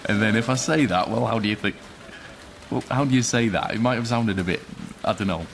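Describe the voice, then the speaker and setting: A Yorkshireman, deep voice, dry and teasing, radio drama with noise behind. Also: fairly quick, creaky. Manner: dry, teasing, self-deprecating. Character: man from Yorkshire living in London, wry and self-deprecating.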